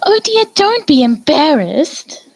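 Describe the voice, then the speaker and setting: A woman's voice: high-pitched, very animated, takes it slowly, creaky, a little mellow, dry recording, full character voice, poor audio. A woman voicing a refined, theatrical female unicorn pony with a posh, effusive manner. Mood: delighted.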